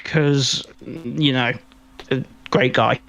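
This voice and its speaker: A man co-hosting a podcast in casual film review chat, rambling and halting as he repeats words mid-sentence. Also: mid-range voice, steady tone, vocal fry, a bit bright, muffled recording. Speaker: podcast co-host.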